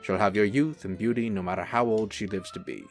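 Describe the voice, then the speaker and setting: Man playing a suave, creaky-voiced charming angel. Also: on the low side, steady tone, brisk, soft background music, close to an everyday voice. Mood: charming, tender. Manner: smooth, playful, courtly. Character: suave, teasing man, an angel in human form. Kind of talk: radio-play style dramatic acting.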